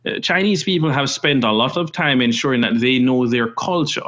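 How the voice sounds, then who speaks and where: A man's voice: mid-range voice, moderate pace, a bit bright, dull audio. A man from Jamaica, a guest on an interview podcast, making his points earnestly and step by step. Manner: earnest, emphatic, builds points in numbered steps. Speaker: investment firm CEO, guest.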